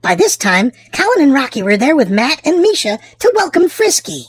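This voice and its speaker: Female read-aloud host for young children, high voice, expressive and drawn-out delivery, dry close sound. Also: no echo. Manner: theatrical, drawn-out emphasis for small children. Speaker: children's storybook reader and channel host.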